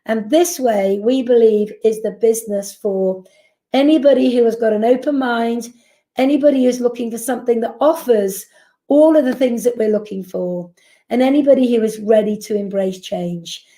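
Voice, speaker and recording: A woman's voice: on the high side, normal speed, mellow, echoey room. A woman giving a persuasive business presentation, asking rhetorical questions and answering them. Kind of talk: sales presentation.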